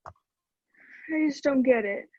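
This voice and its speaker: A woman's voice: high-pitched, extremely slow, warm tone, no room sound, highs cut off, heavily acted. A woman in an amateur play acting an indignant, bewildered runaway girl who presses with incredulous questions.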